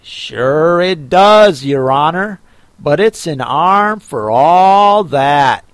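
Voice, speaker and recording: A man voicing a meek male servant in a storybook, in a slow, humble drawl. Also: on the high side, expressive, dry recording. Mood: deferential.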